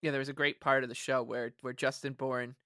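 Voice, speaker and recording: A man's voice: mid-range voice, level tone, fairly quick, sharp tone, smooth, no echo, clean studio sound. A man co-hosting a sports podcast, reading articles aloud and chatting casually with a wry, mock-literary delivery. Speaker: podcast co-host.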